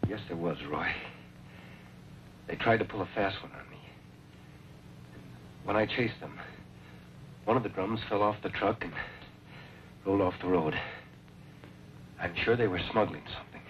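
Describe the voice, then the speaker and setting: A man acting as a dazed, weary injured lawman in an old Western drama, speaking haltingly but earnestly. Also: low-pitched, lightly acted. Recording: dull, noisy old radio recording.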